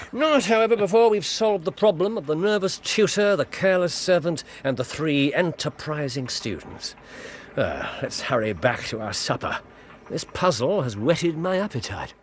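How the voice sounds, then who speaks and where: An actor playing a keen, playful, commanding Victorian English gentleman detective in a radio drama, speaking with an English accent in a brisk, wry, theatrical way. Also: a little high, animated, a little hiss or hum, natural voice.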